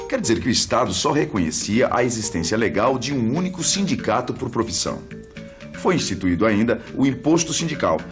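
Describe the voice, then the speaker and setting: A Brazilian man presenting an educational documentary in a conversational narrating style. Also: on the low side.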